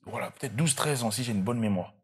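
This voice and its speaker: A man's voice: medium pitch, quick pace, slightly rough. A young man from DR Congo with a Congolese French accent, a content creator chatting easily as an interview guest while he searches for his words. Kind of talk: casual interview chat.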